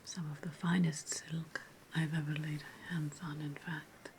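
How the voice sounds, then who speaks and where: A woman's voice: low-pitched, level tone, a little slow, a little mellow, slight background noise. A woman voicing a haughty, playful ancient Roman noblewoman in a whispered ASMR roleplay, teasing and savoring her words, often repeating phrases. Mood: playful, probing.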